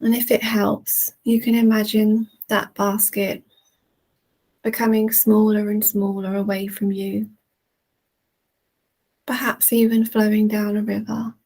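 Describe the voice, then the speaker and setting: A woman leading a guided relaxation in a soothing, gentle manner with long, unhurried pauses. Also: mid-range voice, level tone, low-quality recording. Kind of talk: guided relaxation, meditation narration.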